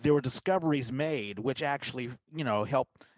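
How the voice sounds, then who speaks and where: A man's voice: mid-range voice, normal speed, no echo. Middle-aged man hosting, dry close sound. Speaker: podcast host. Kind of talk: casual interview conversation.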